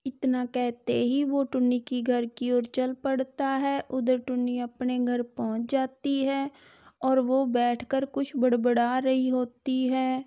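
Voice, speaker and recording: High, mellow, slow-paced woman narrator. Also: level tone. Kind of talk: scripted children's story narration.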